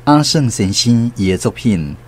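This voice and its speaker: A man's voice: medium pitch, expressive, normal speed, breathy edge. A man with a Taiwanese accent delivering scripted radio narration as the programme's host. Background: faint music.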